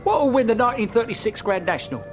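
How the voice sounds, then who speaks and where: A man's voice: high-pitched, very animated, rapid-fire, music in the background. An actor playing a timid, nervous henchman in an audio drama, hesitant and stammering. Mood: curious, hesitant.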